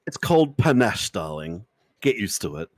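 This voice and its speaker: A man's voice: fairly low pitch, big pitch swings, normal speed, slight background noise, voice pushed down. A man voicing a smug, manipulative celebrity killer with a theatrical, condescending, mock-sweet drawl in a game fan dub. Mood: taunting, condescending. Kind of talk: live fan dub of a visual novel game.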